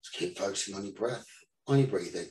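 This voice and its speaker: A man leading a guided meditation as the teacher, giving soothing instructions in short phrases with pauses between them. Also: low voice, a little mellow. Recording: roomy echo, distant from the mic.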